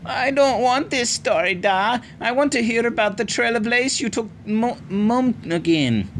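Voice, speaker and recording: A man voicing a frightened little Irish girl who pleads and sobs in a high voice. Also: lively intonation, unhurried, fairly bright tone, a little hiss or hum, heavily acted. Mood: pleading.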